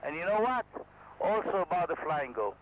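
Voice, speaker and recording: An Israeli man, a helicopter instructor, speaking to camera in halting non-native English with a modest, self-deprecating manner. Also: high-pitched, sing-song, a little hiss or hum, low-quality recording. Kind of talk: candid talk to camera.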